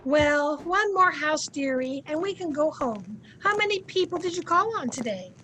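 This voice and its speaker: A woman plays a patient wife in a 1950s-style radio comedy. Her voice is high, and she soothes and gently corrects with polite good manners. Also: animated, normal speed, slight background noise, rough recording. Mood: mild, patient. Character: patient, sweetly chiding wife of a blowhard.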